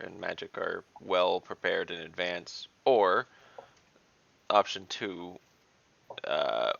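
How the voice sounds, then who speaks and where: Deep, heavily gravelly, bright-edged man. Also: muffled recording. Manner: analytical, weighs options at length. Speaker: tabletop game player. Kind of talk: casual online tabletop game discussion. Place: online voice call.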